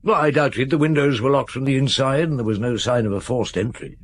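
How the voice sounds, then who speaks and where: An actor playing a shrewd, patient chief inspector in a radio drama, with a dry, probing, unhurried manner. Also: male voice, mid-range voice, lightly acted. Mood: shrewd questioning. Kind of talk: radio drama acting with narration.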